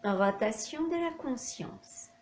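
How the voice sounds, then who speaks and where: A woman leading a guided yoga nidra relaxation as an instructor, speaking in short instructional phrases with long pauses. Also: on the high side, animated. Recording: echoey room, faint background noise.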